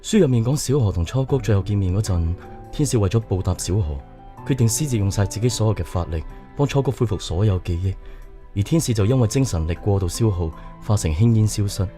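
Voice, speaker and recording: Mellow, earnest young Cantonese man played by an actor. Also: fairly low pitch, expressive, fast talker, soft background music, lightly acted. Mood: curious, reflective. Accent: Hong Kong Cantonese. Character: earnest, polite young man shyly courting a woman. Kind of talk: radio drama acting.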